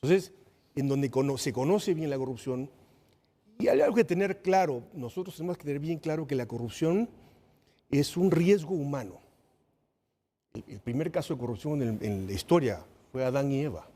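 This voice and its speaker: A man's voice: medium pitch, lively intonation, moderate pace, dark tone, in a large hall. A man from Peru with a Peruvian accent, a lawyer guest explaining corruption and compliance on a panel show. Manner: storytelling, explanatory. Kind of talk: panel discussion.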